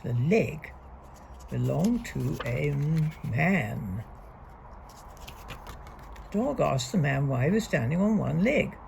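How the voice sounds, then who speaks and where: Slow, creaky-voiced woman reading a children's storybook. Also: sits low, a little hiss or hum. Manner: deliberate, expressive read-aloud with emphasised key words. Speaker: storybook reader. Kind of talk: reading a young children's picture book aloud.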